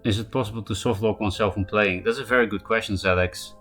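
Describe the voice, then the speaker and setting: Man, Polish accent, fairly low voice, chatty game streamer, faint music behind. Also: steady tone, a bit bright. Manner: chatty, answers chat by name, quick asides. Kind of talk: casual live-stream chat while playing.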